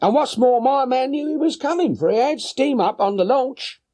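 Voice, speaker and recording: A man's voice: extremely high pitch, moderate pace, mellow, voice pushed up. A man voicing a chatty, flustered working-class London boatman's wife for an audiobook. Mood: chatty, obliging. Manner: chatty, deferential, plain-spoken. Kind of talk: audiobook character voice.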